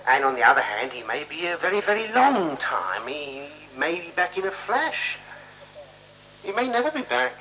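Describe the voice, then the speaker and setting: An actor playing a patient, naively curious male companion in a 1970s radio play, deliberate, repetitive and questioning, on an old radio recording. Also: fairly high pitch, sing-song, sharp tone, poor audio. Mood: childlike, musing. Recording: hissy, humming 1976 radio recording.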